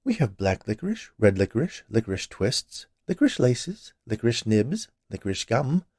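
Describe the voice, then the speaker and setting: A man's voice: medium pitch, big pitch swings, normal speed, darkish tone, husky, no room sound, studio quality. A man reading a story, voicing a cheerful, chatty woman who keeps a confectionery shop and naively argues. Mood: cheerful, eager. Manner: annoyingly cheerful, rattling off lists.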